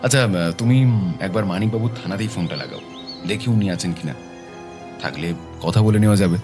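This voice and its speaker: An actor playing a serious, determined detective in an audio drama, speaking in a deliberate way. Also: male voice, low-pitched, over music, close to an everyday voice. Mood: businesslike. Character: serious, determined male private detective, methodical and businesslike.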